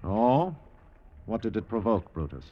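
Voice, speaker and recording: An actor in a classic radio drama playing a vain, imperious Roman ruler who speaks in grandiose declamation. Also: male voice, on the low side, big pitch swings, measured pace, lo-fi sound. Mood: smug.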